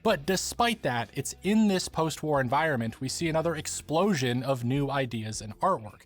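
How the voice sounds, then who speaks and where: A man's voice: on the high side, lively intonation, moderate pace. A man reading scripted documentary narration for a video essay.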